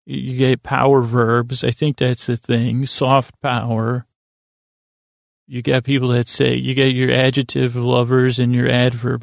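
Guy rambling on a bedtime podcast, super creaky voice, trips over his words, dry close sound. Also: medium pitch, no echo. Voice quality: gravelly, creaky voice. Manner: meandering, stumbling and stuttering, trailing off mid-word. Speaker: podcast host. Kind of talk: rambling improvised bedtime-story podcast monologue.

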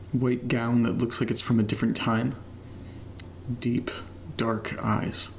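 A man's voice: mid-range voice, gravelly, a little hiss or hum. A man playing an uneasy homeowner in a found-footage horror audio drama, talking casually and hesitantly as if keeping a spoken diary. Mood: frightened reading aloud. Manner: hesitant, halting, casual muttering to listeners. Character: uneasy man documenting his new house, casual, nervous.

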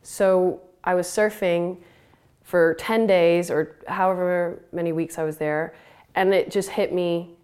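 A woman's voice: on the low side, steady tone, a little slow, a little mellow, clean tone. A woman in her twenties from Thailand, a podcast guest, talking in a rambling casual way with many fillers and offhand swearing. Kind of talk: casual interview.

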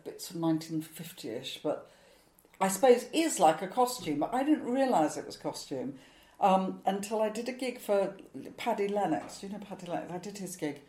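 A woman's voice: on the low side, mellow. Chatty woman in her sixties, echoey room. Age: 60s. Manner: chatty, rambling, self-mocking, laughs often. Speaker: stand-up comedian. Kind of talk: relaxed interview answers.

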